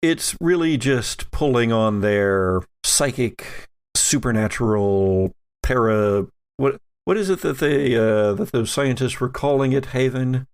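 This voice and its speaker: A man runs a tabletop roleplaying game as game master. His mid-pitched voice has plenty of fry in it, and he picks through his sentences in a halting, deliberate manner. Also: lively intonation.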